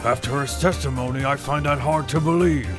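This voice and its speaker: A man's voice: medium pitch, fairly quick, natural voice. A man playing a formal, inquisitive courtroom judge in a video game fan dub. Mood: questioning. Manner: formal, questioning. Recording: music under the voice.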